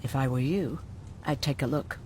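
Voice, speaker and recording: An actress playing a gruff, sardonic, world-weary woman medic who survived a prison camp, in a dramatic video game style. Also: rumbling low, moderate pace, a little mellow, a little hiss or hum. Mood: matter-of-fact.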